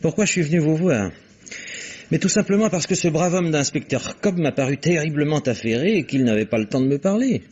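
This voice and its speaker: A man's voice: on the high side, animated, fairly quick, darkish tone, husky. An actor in an old radio drama playing a cynical, blunt father who is sardonic and self-assured. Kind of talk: 1960s French radio drama acting. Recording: dull old radio broadcast recording.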